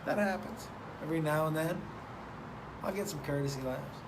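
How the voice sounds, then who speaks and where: A man's voice: fairly high pitch, normal speed. A man hosting a casual live stream, chatting loosely and profanely, reading out viewer names and rambling. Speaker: host of a live stream show. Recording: noticeable background noise.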